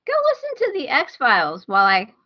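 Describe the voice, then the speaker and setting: A woman's voice: on the high side, wildly swooping pitch, fairly quick, no room sound, highs cut off. A woman hosting a podcast in casual chat, giving recommendations in an informal, enthusiastic way.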